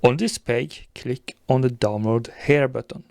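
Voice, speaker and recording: A man presenting a step-by-step software tutorial in a gravelly voice. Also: medium pitch, unhurried, no echo, clean studio sound.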